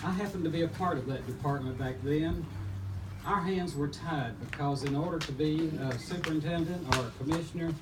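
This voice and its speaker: Older man giving a halting birthday tribute, heard from a distance. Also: fairly high pitch. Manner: rambling, halting with restarts. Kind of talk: unscripted tribute speech. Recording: distant mic with loud event noise.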